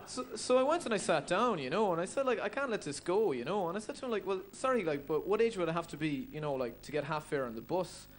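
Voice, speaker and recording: A man's voice: sits high, very animated, fast talker, few pauses. A man of thirty with a Cork Irish accent telling stand-up stories in a hesitant, self-deprecating way. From Cork, Ireland. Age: 30s. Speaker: stand-up comedian. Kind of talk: stand-up storytelling. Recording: noisy live stage recording.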